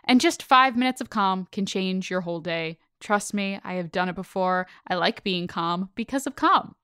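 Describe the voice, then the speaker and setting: A woman's voice: medium pitch, moderate pace, a bit bright, clear, resonant tone, no echo, clean studio sound. A woman co-hosting a podcast, chatting casually and reading sponsor spots in an upbeat, playful, conversational way. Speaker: podcast co-host. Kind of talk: casual chatty ad read and banter.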